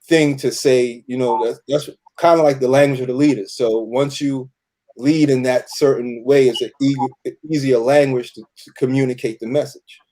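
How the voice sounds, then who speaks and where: A man's voice: medium pitch, steady tone, normal speed, mellow, rough recording. A man talking loosely and slangily as a guest in a casual group interview, often dropping in "you know". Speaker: audio producer and cast member being interviewed.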